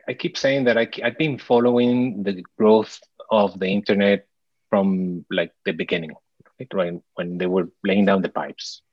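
Low-voiced guy from mexico, stops and restarts a lot, muffled dry sound. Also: no echo. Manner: hesitant, many restarts and fillers. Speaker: podcast guest. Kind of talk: casual interview chat.

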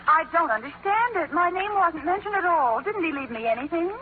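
An actress playing a querulous, self-pitying wife who badgers and dramatizes, in 1940s radio comedy style on an old recording. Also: female voice, very high voice, expressive, brisk. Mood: plaintive, dismayed. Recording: old radio, tinny narrow band, noisy.

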